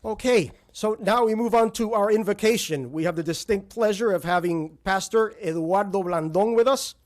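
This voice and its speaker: A man emceeing a civic ceremony, briskly reading out guests' names with frequent filler "uh"s. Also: high voice. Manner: brisk name listing with filler "uh"s. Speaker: master of ceremonies.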